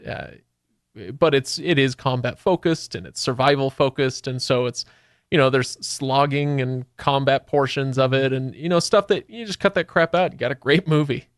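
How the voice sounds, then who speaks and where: A man hosting a casual podcast discussion, talking conversationally as he thinks out loud. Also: medium pitch, moderate pace, no room sound, clean studio sound. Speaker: podcast host.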